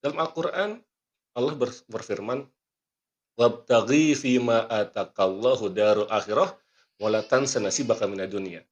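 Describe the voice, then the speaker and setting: Throat-clearing man giving a motivational talk. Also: on the low side, normal speed, muffled recording. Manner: preachy, earnest, quotes scripture and sayings. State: coughing, not fully well. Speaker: magician and motivational speaker. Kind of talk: motivational podcast talk with a magic trick demo.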